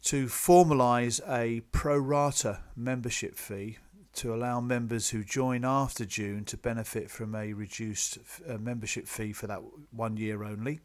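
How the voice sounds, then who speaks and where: A man presenting club business to members in a measured, businesslike, explanatory way, partly from a script. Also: mid-range voice, fairly even intonation. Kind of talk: slide presentation, semi-scripted explanatory talk.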